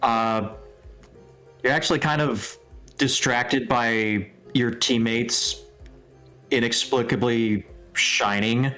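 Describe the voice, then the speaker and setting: A man narrates a tabletop game at a slow, unhurried pace. He improvises casually and hesitantly as game master, feeling out each detail. Faint music plays underneath. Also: mid-range voice, steady tone, sharp tone.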